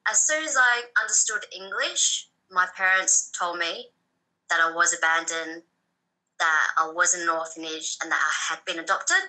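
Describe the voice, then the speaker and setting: A woman in her thirties, from Thailand and raised in Australia, answering interview questions in a soft, hesitant way with frequent pauses. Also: fairly low pitch, rough recording. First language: Thai. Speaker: guest. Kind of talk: personal story interview.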